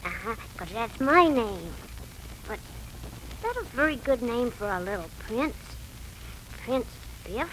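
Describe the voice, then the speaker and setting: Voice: mid-range voice, sing-song, slow, pauses often, fairly bright tone. An actor playing a sweet, inquisitive little boy in a 1940s radio drama, on a noisy vintage recording. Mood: pleased, doubtful.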